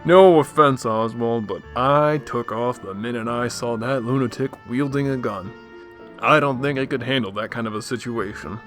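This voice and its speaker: An actor playing a breezy, naive-seeming rich socialite with a casual, affable manner in a radio drama. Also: male voice, medium pitch, moderate pace, fairly bright tone, faint music behind, natural voice.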